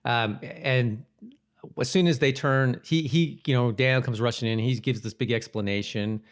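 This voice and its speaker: A man's voice: mid-range voice, normal speed. An American man co-hosting a podcast, chatting casually and recapping in loose, informal, trailing phrases. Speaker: podcast co-host. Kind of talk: casual chat recapping a TV episode.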